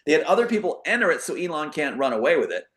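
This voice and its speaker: A man's voice: on the high side, very animated, very fast, fairly bright tone. A man speaking as a talk show guest in a casual, rambling monologue, emphatic and given to acting out quotes as he explains his theories.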